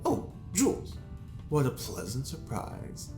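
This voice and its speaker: A man's voice: mid-range voice, huge pitch swings, slow pace, music in the background. A man playing a pompous, sly prisoner with refined tastes in an audio drama, theatrical and arch. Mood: sly, playful. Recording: roomy echo.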